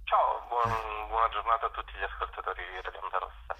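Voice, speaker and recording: A man being interviewed on radio by phone, explaining things in a halting way with many pauses. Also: fairly low pitch, fairly even intonation, a crowd in the background. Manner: hesitant, halting with frequent pauses. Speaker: community radio programmer, interview guest. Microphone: phone call. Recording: dull telephone call.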